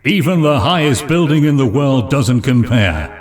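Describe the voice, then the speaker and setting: A man acting as a grandiose game show announcer in an audio drama, with drawn-out showman delivery. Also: mid-range voice, lively intonation, lightly acted. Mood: grand, hyped. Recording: loud music and crowd noise behind.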